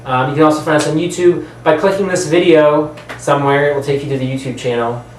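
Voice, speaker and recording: A man's voice: on the high side, steady tone, fast talker. A man hosting a video channel in casual chat, rambling and informal, sometimes trailing off. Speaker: host of a video channel about films and games. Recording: roomy echo, distant mic, faint noise.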